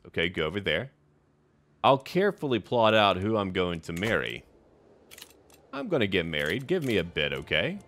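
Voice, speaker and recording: Male game host, low voice with lively pitch movement, theatrical roleplay delivery in clipped statements, music underneath. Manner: theatrical, short declarative remarks, talking to characters. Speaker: gaming video host. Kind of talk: first-person roleplay commentary on a strategy game.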